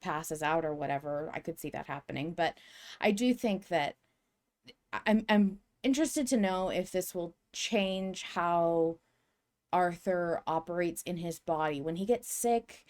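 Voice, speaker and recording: A woman's voice: on the low side, unhurried, darkish tone. A woman co-hosting a podcast, reasoning and speculating aloud in a casual discussion. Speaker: podcast co-host.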